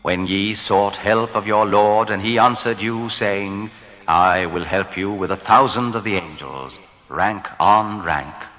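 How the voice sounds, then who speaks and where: Solemn, bright-voiced man reading in heavy reverb. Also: lowish voice. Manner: formal, deliberate, declamatory. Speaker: narrator of scripture translation. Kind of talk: solemn scripted reading of archaic scripture translation. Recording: long reverb, hall-like.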